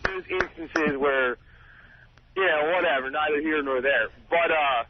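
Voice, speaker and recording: A man's voice: fairly high pitch, a little slow, no echo. A young man calling into a radio show, talking casually in a hesitant, conciliatory way. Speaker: radio show caller, Marine. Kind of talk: casual call-in conversation. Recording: dull lo-fi radio call.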